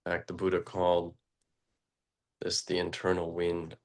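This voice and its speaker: Male meditation teacher, very low and level voice, unhurried halting delivery with long pauses. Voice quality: very low, flat voice. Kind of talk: guided meditation.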